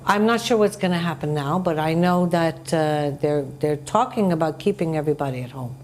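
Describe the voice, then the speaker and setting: Animated woman hosting a talk show. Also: low voice, brisk, slight background noise. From North America. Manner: animated, emphatic storytelling with acted-out quotes. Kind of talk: conversational TV interview, telling anecdotes.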